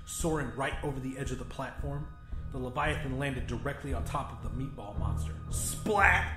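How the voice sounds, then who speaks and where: A man's voice: medium pitch, echoey room. A man giving a dramatic, scripted narration of a horror story as an audiobook narrator.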